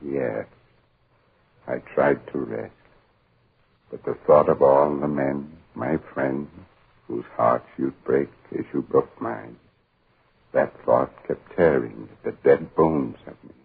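An actor playing a vengeful corpse back from the grave, speaking slowly and solemnly with menace in 1940s radio horror style. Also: male voice, full character voice. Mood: brooding, mournful menace. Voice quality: gravelly, hoarse, very deep. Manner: slow, solemn, menacing incantation. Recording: muffled tinny 1940s radio recording.

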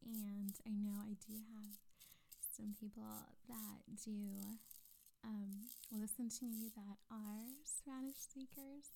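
A woman hosting her own podcast, chatting casually with lots of fillers, giggles and soft half-whispered asides. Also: medium pitch, steady tone, slow pace, gravelly, slight background noise, rough recording. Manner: rambling, many fillers and giggles. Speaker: podcast host.

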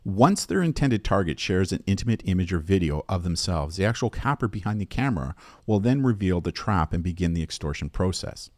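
A man hosting an educational safety podcast, reading a scripted explanation in a measured, explanatory manner. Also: deep voice, very animated, a little mellow, no room sound.

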